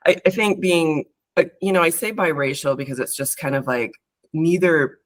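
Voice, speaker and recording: A woman's voice: deep voice, normal speed. A woman from California, a therapist being interviewed, talking in a rambling, reflective way with many fillers and restarts. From California, United States. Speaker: therapist, podcast guest. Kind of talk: casual interview talk.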